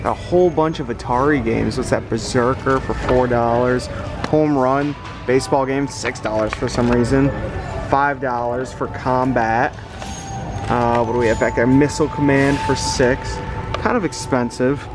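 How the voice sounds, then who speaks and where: A man's voice: medium pitch, fairly even intonation, normal speed, over music. A man hosting a casual shopping vlog, chatting to viewers as he goes, recorded on a handheld camera. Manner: chatty, addresses viewers as guys. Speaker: vlog host and reseller on a thrifting trip. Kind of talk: casual running commentary while shopping. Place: thrift stores and parking lots. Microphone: handheld camera mic.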